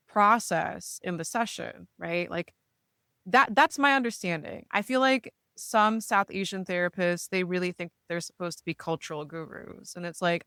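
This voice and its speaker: A woman's voice: fairly low pitch, a bit bright, smooth, dry recording, studio quality. A woman, a therapist speaking reflectively in a casual podcast chat, often checking in with "right?". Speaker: therapist, guest.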